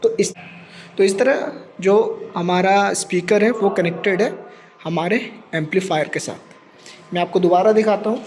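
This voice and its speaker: A man's voice: sits high, measured pace, darkish tone, slight background noise. A man presenting a practical tech demonstration step by step, repeating points and mixing English terms into his speech.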